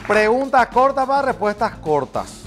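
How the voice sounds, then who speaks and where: A man's voice: very high-pitched, very animated, normal speed, fairly bright tone, faint music behind, a faint crowd. Joking man hosting an interview. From Paraguay. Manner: joking, teasing banter. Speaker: interview host. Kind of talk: quick-fire question interview. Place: dark studio set, seated. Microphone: lapel.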